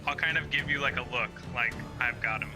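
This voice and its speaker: A man's voice: medium pitch, a little fast, rough recording. A man playing a tabletop role-playing game in casual chat with the other players. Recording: very loud music under the voice.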